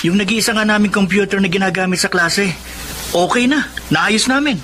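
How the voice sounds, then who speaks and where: A high-voiced, eager young Filipino student. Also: male voice, normal speed, bright, forceful tone. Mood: cheeky. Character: eager young provincial student, cheeky, big dreamer. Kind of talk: Filipino radio drama acting. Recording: noisy radio drama with ambient effects.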